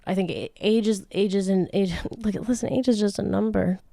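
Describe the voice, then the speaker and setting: A woman's voice: medium pitch, steady tone, moderate pace, creaky, warm tone, dry recording, clean studio sound. Chatty frank woman in her late twenties. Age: late 20s. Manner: chatty, frank, swears freely, laughs often, many restarts. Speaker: podcast host answering listener questions. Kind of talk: casual chat.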